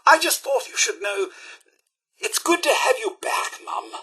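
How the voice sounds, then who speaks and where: A man's voice: very high voice, wildly swooping pitch, a little slow, sharp tone, exaggerated voice. A male actor playing a loyal, prim robot butler in a video game, with a British accent and formal, fussy, clipped diction. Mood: courteous, warm. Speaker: companion character in a video game. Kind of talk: theatrical video game voice acting.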